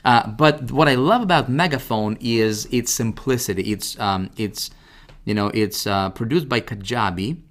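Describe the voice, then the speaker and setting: A man presenting a casual, informal software tutorial, talking directly to the listener and often checking that they follow. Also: mid-range voice, normal speed. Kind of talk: casual screen-share product walkthrough.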